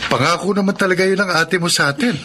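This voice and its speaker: An actor playing a mild, concerned middle-aged father in a Filipino radio drama, measured and fatherly. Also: high voice, bright, forceful tone, slight background noise.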